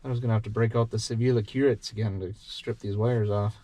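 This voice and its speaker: A man's voice: fairly low pitch, steady tone, fast talker, warm tone, slight background noise. A middle-aged man vlogging while he does wiring repairs in his workshop, chatting loosely and muttering at the parts as he works. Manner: rambling, self-talking while working, mutters at parts. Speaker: vlogger doing truck and shop repairs. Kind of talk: casual chat while working.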